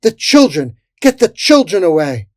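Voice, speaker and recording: A narrator acts out a bold fairy war captain from a fantasy storybook. The orders are shouted and commanding, pitched well up, in a dry space. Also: sing-song, moderate pace, no echo, lightly acted. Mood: urgent commanding.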